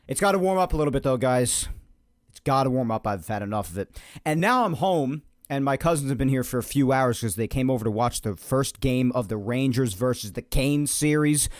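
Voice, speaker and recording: Chatty, slightly hoarse New man. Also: mid-range voice, fairly quick, dry recording, studio quality. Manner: chatty, energetic, rambling. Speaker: podcast host. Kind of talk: solo sports podcast chat.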